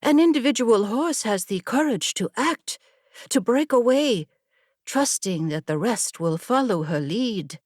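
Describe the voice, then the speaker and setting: A woman voicing an eager, awestruck young trainee in a fantasy audiobook, with breathy, earnest wonder. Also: on the high side, animated, a little slow, darkish tone, no echo, clean studio sound, voice pushed up.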